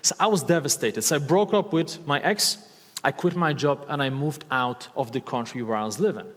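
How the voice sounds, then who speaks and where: A man in his thirties with a Polish accent speaks from a stage to a live crowd, upbeat and coaxing, throwing questions to the audience. His voice sits at an ordinary male pitch, and the big hall adds a long reverb tail. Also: fairly quick. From Poland. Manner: enthusiastic, asks the audience questions, repeats key words. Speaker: speaker giving a talk on stage.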